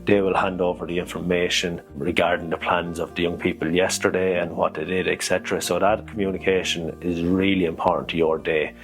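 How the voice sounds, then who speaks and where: A man's voice: low-pitched, little pitch movement, fairly quick, few pauses, faint music behind. A man, a care worker, explaining his job in an interview.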